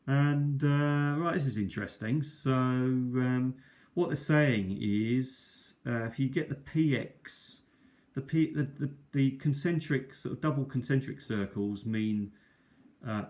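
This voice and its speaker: A man's voice: medium pitch, slow, darkish tone. A man hosting an unscripted, casual show-and-tell review, speaking in a hesitant, rambling way and often correcting himself. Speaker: host of a cassette collection review video. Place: kitchen table, indoors. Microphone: tablet built-in microphone.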